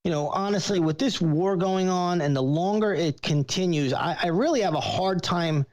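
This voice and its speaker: A man's voice: high-pitched, normal speed, darkish tone. A man speaking as an analyst on a show, giving conversational, explanatory commentary. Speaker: market analyst, regular guest.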